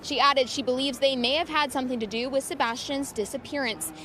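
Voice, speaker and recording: A woman's voice: high voice, fairly even intonation, brisk, fairly bright tone, slight background noise. A woman reporting a scripted television news story from outdoors. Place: outdoors outside a courthouse.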